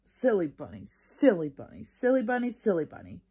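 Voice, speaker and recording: A cheeky, stubborn little schoolgirl, acted out by a woman reading a storybook. The voice is husky and defiant, with childish grammar and a self-satisfied air. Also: fairly low pitch, huge pitch swings, moderate pace, warm tone, heavily acted. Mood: mocking. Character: cheeky, stubborn little first-grade girl.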